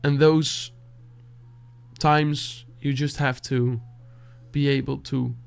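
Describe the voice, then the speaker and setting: Dutch man, non-native English, soft halting reflective talk, gravelly fry, mid pitch. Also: level tone. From Netherlands. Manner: soft, halting, searching for words, sighing. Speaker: beatboxer reacting to music.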